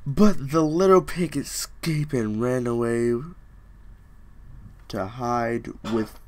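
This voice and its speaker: A man's voice: medium pitch, fairly even intonation, slow, darkish tone. A young man reading a fairy tale aloud in a small room, joking in slang and breaking off to riff, close to a webcam. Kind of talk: comedic storybook reading with asides.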